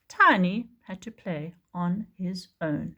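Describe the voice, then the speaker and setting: A woman teacher reading a picture book aloud for young children in an expressive storytelling manner. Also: on the low side, slow. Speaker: preschool teacher.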